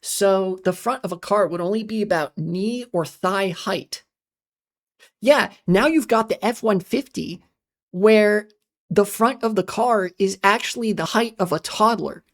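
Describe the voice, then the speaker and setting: A woman hosting a casual livestream, her voice slightly raspy and strained from long talking, speaking in halting bursts with many fillers and restarts. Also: medium pitch. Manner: halting, many fillers and restarts, talks fast then slows. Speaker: livestream host. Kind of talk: casual livestream chat, reading chat and rambling on.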